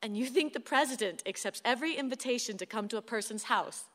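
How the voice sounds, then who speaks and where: An actress playing a weary, patient wife in a radio play, wry, coaxing and firm. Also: female voice, on the high side, fast talker, a bit bright, natural voice. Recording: long hall-like reverb.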